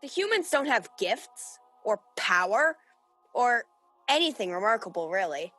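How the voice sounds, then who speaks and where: An actress playing a haughty, impatient rebel angel in a radio play, with a sharp, contemptuous way of talking. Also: female voice, fairly high pitch, sing-song, unhurried, exaggerated voice. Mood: scornful. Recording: radio play recorded over video call, music behind.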